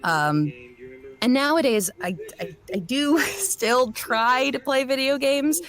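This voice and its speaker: A woman's voice: high-pitched, animated, slow, a bit bright. A woman voice actor and director talking casually in an interview, chatty and a little self-deprecating.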